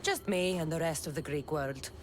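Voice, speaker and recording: An actress playing a principled, impatient Greek heroine in a video game, wry and direct. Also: female voice, sits low, normal speed, slight background noise, close to an everyday voice. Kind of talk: video game cutscene acting.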